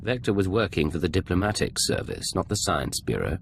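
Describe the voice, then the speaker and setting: Video game spy: commanding, measured, gravelly bass with swooping intonation. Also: male voice, big pitch swings, a little hiss or hum, full character voice. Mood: brisk, commanding. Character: calm, commanding male imperial secret agent, flirtatious off duty. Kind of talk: video game cutscene acting.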